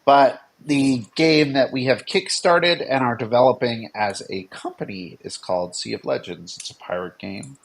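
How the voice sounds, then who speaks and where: A man's voice: medium pitch, moderate pace, vocal fry, hardly any pauses, slight background noise. A middle-aged man co-hosting a casual livestream as a game designer, bantering in a dry, tongue-in-cheek way. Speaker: game designer and stream co-host.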